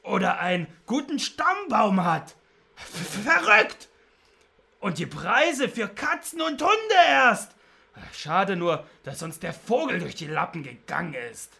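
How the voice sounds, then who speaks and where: An actor plays a domineering crook in a children's radio play. The voice is bright and swoops up and down, with a gravelly creak. Every line is boastful or scornful. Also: mid-range voice, very animated, slow, exaggerated voice. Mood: gloating. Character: gloating, bossy adult animal thief, ringleader. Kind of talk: children's audio drama acting.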